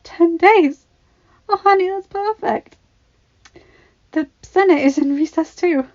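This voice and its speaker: A woman voicing a tender, grieving young wife in an emotional, breathy, sobbing delivery for a story reading. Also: very high voice, slow, darkish tone, highs cut off, voice pushed up, full character voice. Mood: relieved.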